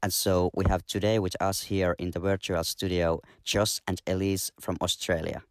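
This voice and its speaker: A man co-hosting a podcast, introducing the show and its guests in a measured, formal way. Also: low-pitched, little pitch movement, clear, resonant tone, dry recording, clean studio sound. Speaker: podcast co-host.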